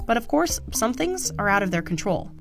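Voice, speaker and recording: Lively woman narrator over faint music. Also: fairly high pitch, normal speed, gravelly. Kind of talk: scripted documentary narration. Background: background music.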